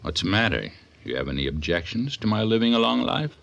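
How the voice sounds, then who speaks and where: A man's voice: fairly low pitch, big pitch swings, a little fast, creaky, a bit bright, dull audio, exaggerated voice. A man playing a genial middle-aged father and business owner in a radio drama. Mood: upbeat.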